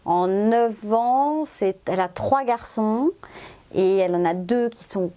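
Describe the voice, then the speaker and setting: A woman from France with a French accent, hosting a relaxed interview and often finishing her guest's sentences. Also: mid-range voice, takes it slowly. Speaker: host. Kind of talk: conversational interview.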